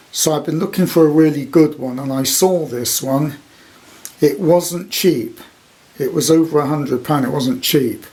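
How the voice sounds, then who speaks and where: A man's voice: on the high side, a little mellow. A British man in his sixties giving a casual, rambling, unscripted show-and-tell as a hobby collector. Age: 60s. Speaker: radio collector presenting his item.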